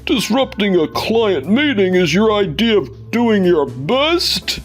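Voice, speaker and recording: A man playing a stern, measured company boss and father in a manga dub, speaking with authority. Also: sits high, big pitch swings, heavily acted. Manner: deliberate, authoritative. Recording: music under the voice.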